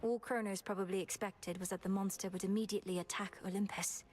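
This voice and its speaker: An actress playing an anxious goddess in a video game, speaking in an urgent, exasperated way. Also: female voice, mid-range voice, fairly even intonation, fast, darkish tone, slight background noise, close to an everyday voice. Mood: worried. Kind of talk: game character dialogue.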